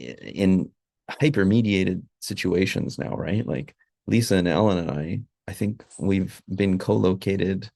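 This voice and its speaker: A man's voice: low voice, vocal fry, darkish tone, no room sound. A man speaking as a panelist, rambling and leaning on fillers as he thinks aloud.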